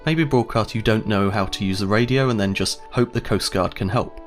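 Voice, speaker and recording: Low-pitched man narrating a tutorial. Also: fairly quick, faint music behind. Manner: clear step-by-step explaining, conversational. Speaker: instructional video narrator. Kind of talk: scripted instructional narration.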